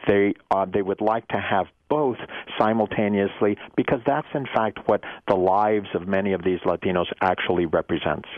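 Low-voiced male professor, dull radio sound. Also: normal speed, hardly any pauses, dry recording. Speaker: professor guest on call-in show. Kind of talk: explanatory political commentary.

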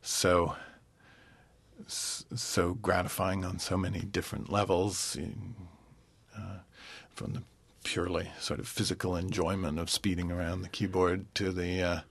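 A man, a concert pianist, answering interview questions thoughtfully and pausing often to find his words. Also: sits low, level tone, creaky, slightly rough, dry recording. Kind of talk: relaxed radio interview.

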